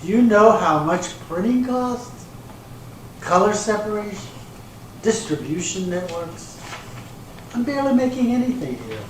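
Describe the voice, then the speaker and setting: A man acting in a readers theater piece as a slick, smooth-talking promoter with a grandiose, placating salesman's patter. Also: sits high, animated, a little slow, pauses often. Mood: defensive, placating. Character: slick, smooth-talking male promoter pitching a glamour calendar. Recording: echoey hall from far off, hissy room noise.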